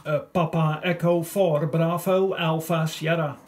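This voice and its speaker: A man working as an amateur radio operator, chatting casually in a hesitant, halting and friendly way. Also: fairly high pitch, fairly even intonation, a little mellow. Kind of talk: casual radio contact chat.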